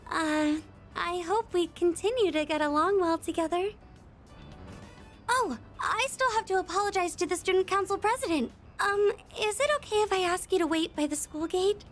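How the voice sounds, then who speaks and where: A timid but excitable high-school girl from an anime-style game. The voice sits extremely high and halts and gushes in earnest. Soft music plays behind it. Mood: happy, shy. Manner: hesitant, halting, gushing.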